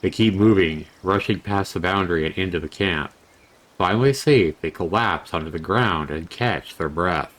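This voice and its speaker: A man reading a fantasy game's story aloud as narrator, in a measured, dramatic storytelling manner. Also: low-pitched, a bit bright. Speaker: game reviewer narrating a visual novel playthrough. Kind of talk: storytelling narration read aloud from on-screen text.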